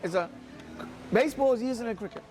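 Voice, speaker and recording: High-pitched man telling casual stories. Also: fast, slight background noise. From Bermuda. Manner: animated anecdotes, quotes people, repeats phrases. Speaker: interview guest, restaurant owner. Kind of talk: casual storytelling chat.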